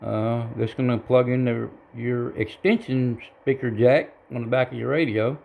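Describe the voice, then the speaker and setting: Man, gravelly fry, halting delivery, plain-spoken, casual home tutorial. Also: medium pitch, steady tone, a little mellow, a little hiss or hum, low-quality recording. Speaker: hobbyist giving a how-to demonstration. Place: indoor home radio room.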